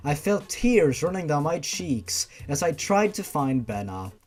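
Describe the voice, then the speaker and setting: Expressive storyteller with lively swings in pitch. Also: a little slow. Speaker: story reader. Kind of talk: first-person narration of fan fiction story. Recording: music behind the voice. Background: music.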